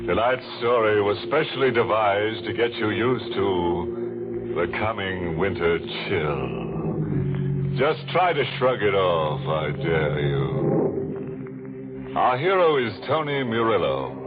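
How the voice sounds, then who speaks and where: Creepy old radio horror host, slow n low, gleefully morbid, music behind, muffled recording. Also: male voice, animated. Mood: ominous, teasing. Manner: theatrical, drawn-out, sardonic punning. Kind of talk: 1940s radio horror hosting.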